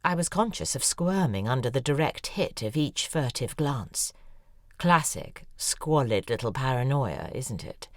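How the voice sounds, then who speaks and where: A woman's voice: rumbling low, expressive, a little slow, no echo, clean studio sound. A wry, needling woman novelist. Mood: wry storytelling. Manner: wry, needling, self-mocking storytelling. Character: sharp-tongued woman novelist reliving her past. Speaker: lead character in audio drama. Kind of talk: dramatic monologue, audio drama acting.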